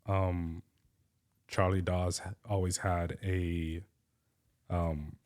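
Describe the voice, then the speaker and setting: A man's voice: sits low, little pitch movement, very slow, a little mellow. A thirty-year-old man chatting casually and informally as a guest on a podcast.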